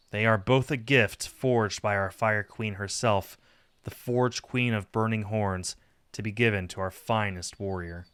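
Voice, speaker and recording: Slow, bright-voiced male game narrator. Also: on the low side, smooth, no echo. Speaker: streamer reading a visual novel aloud. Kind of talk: expressive game narration with casual asides.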